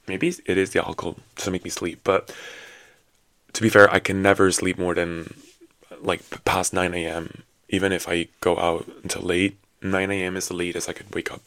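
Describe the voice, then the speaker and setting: A man in his twenties hosting a solo podcast in casual, rambling chat, his voice raspy and low after a night of drinking. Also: fairly bright tone, dry recording. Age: 20s. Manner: rambling, many fillers and restarts. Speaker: podcast host.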